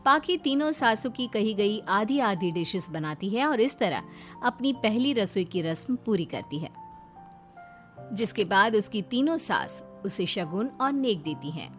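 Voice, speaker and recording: A woman's voice: medium pitch, sing-song, a little slow, soft background music. A woman narrating a scripted cartoon story.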